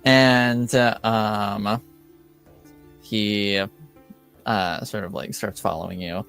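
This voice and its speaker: A man with a fairly low, smooth voice narrates a tabletop roleplaying game as game master. He talks very slowly and haltingly, often stopping to search for words. Faint music plays underneath. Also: steady tone, a bit bright. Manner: halting, hesitant delivery with long pauses and many filled pauses.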